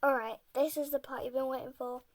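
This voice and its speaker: Soft-spoken young woman, slightly sick. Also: high voice, steady tone, fast talker. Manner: soft, rambling, often half-whispered. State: a bit sick. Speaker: game building creator. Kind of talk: casual voice-over tutorial.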